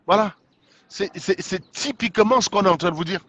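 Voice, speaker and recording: A man's voice: high-pitched, brisk, fairly bright tone. A man with a head cold, giving an indignant political monologue, full of rhetorical questions and restarts. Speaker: political commentator. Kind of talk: casual monologue to followers.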